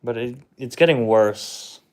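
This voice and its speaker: A young man whose first language is Czech talks slowly on a livestream, answering chat at an unhurried drag. He hesitates, mumbles and trails off with shrugging replies, his voice at an ordinary middle pitch. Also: darkish tone. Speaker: livestream host reading chat. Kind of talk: slow casual livestream chat.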